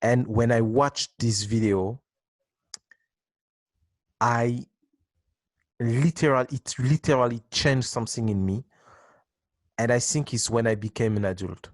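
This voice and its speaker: A man in his twenties, a dance teacher, answering interview questions with halting restarts and frequent laughs. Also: mid-range voice, no room sound. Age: 20s.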